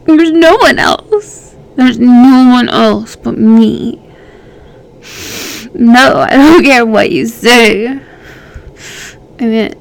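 A woman's voice: a little high, takes it slowly, vocal fry, long pauses, breathy edge, exaggerated voice. Woman playing obsessive, unstable young lover. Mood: angry, pleading. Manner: swings between hushed whispers and loud demands. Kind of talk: audio roleplay.

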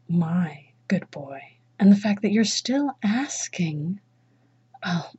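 Slow, breathy, whispering woman. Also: mid-range voice, a little mellow, dull audio. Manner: commanding. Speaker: erotic audio roleplay performer. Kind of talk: dominant mistress roleplay.

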